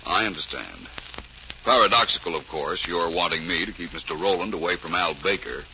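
An actor playing a suave, composed private detective in 1940s radio drama, courteous and wry. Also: male voice, sits low, expressive, a little fast, bright, forceful tone, exaggerated voice. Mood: calm, wry. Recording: muffled, very hissy 1940s radio recording.

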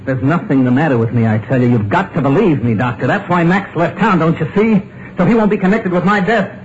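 A man's voice: a little high, fairly quick, close to an everyday voice. A man playing a frightened husband who narrates his own story in an old radio drama, tense and urgent. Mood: panicky. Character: frightened husband convinced he'll be murdered. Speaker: narrator and lead character. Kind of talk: 1950s radio drama acting. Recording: dull lo-fi old radio recording with loud music.

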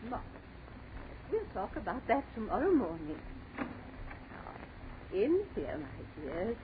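An actress playing a bustling, evasive, bossy old aunt in a 1930s radio horror drama. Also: female voice, medium pitch, sing-song, takes it slowly. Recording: noisy, tinny 1930s radio recording.

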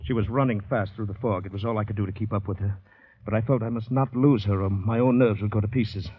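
An actor playing a panicky, polite, shell-shocked Canadian man who narrates his ordeal intensely and haltingly in a 1950s radio drama. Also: on the low side, fairly even intonation, slightly rough, dry recording. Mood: tense, urgent. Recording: dull vintage radio recording, music behind.

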